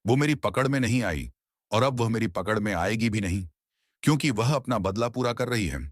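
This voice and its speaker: A man's voice: fairly low pitch, lively intonation, normal speed, dry recording, studio quality, pitched above the performer's own voice. A man playing a self-assured, solemn village tantric in a dramatized horror story. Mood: stern. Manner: solemn, declarative.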